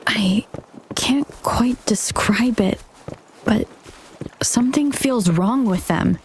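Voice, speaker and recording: A woman playing a thoughtful, uneasy young wanderer in a video game, speaking in a hushed, hesitant way. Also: a little high, slow pace, slight background noise, poor audio. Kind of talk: scripted video game dialogue.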